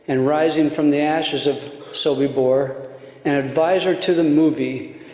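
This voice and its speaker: A man introducing a guest speaker formally, measured with some hesitations. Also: on the high side, a little mellow. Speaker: event host. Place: large lecture hall. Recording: echoey lecture hall.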